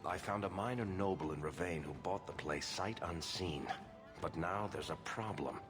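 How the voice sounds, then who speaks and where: A man's voice: deep voice, normal speed, big-room sound, over music, close to an everyday voice. A man playing a roguish, easygoing middle-aged dwarf in a fantasy video game, with a wry, casual delivery. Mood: wry, uneasy.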